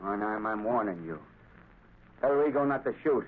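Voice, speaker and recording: An actor playing a desperate, blustering saboteur, urgent and tough-talking, in 1930s radio drama style. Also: male voice, on the low side, expressive, moderate pace. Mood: threatening. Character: desperate, blustering male saboteur turned on his boss. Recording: muffled scratchy 1930s radio recording.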